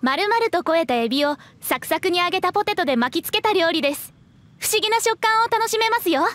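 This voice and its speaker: An actress playing a courteous young waitress explaining things politely in an anime game. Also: female voice, extremely high pitch, animated, brisk, fairly bright tone. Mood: polite, proud.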